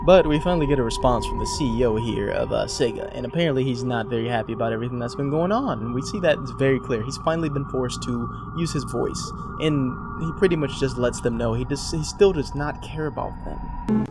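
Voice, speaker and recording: A man's voice: mid-range voice, fairly quick. A man giving casual explainer commentary as he walks through a horror series. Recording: music behind the voice.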